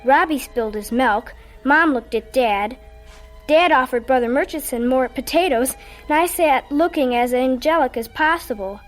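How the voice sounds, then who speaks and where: A woman's voice: high voice, moderate pace, faint music behind, close to an everyday voice, low-quality recording. An actress playing an evasive, stubborn young girl in a radio drama, with a childlike, plain-spoken manner. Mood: confiding. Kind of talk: radio drama acting and narration.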